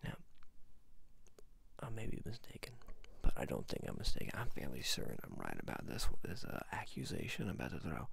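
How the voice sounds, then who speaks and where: Quirky, coaxing male salesman played by a man for ASMR roleplay; heavy vocal fry, lively swings in pitch, halting murmured patter. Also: slight background noise, heavily acted. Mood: musing, hesitant. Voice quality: whispery, breathy murmur with gravelly fry. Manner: halting, repeats and trails off playfully. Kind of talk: ASMR roleplay, soft whispering.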